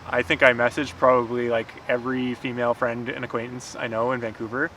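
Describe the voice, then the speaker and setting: A man speaks as a writer being interviewed, casual and halting, often restarting his phrases. His voice is bright and sits in a middle register. Clear urban outdoor noise surrounds him. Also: medium pitch, level tone, poor audio. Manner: halting, with repeated false starts. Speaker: screenwriter guest. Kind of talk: casual chat.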